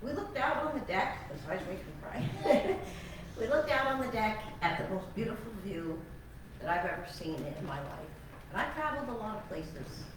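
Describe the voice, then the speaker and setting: A woman's voice: medium pitch, sing-song, moderate pace. An older woman, a retired teacher turned cattle farmer, telling personal stories to an audience in a chatty, emotional, self-deprecating way. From born in Alabama, raised on Long Island. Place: large meeting hall. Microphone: far room mic. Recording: echoey hall from far off, noisy.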